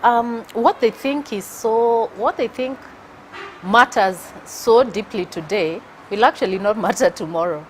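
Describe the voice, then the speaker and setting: A middle-aged woman with a Kenyan accent, a guest speaking in a thoughtful, measured way with frequent hesitations in a studio interview. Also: on the high side, a little hiss or hum, low-quality recording. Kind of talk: casual interview chat.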